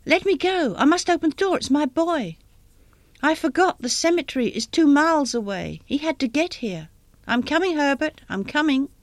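A woman's voice: high voice, animated, fairly quick, few pauses, a bit bright, no echo. A woman reading a story as a grieving, desperate old mother, crying out in a hysterical, insistent manner. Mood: hysterical pleading.

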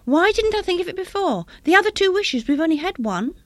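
A woman's voice: high-pitched, animated, a little fast, a bit bright, no room sound. A woman reading a story as a grieving, desperate old mother, crying out in a hysterical, insistent manner. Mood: frantic excitement.

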